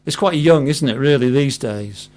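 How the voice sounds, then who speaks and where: A man hosting a live radio interview, speaking in a hesitant, halting way. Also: mid-range voice, a little mellow. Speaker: radio host.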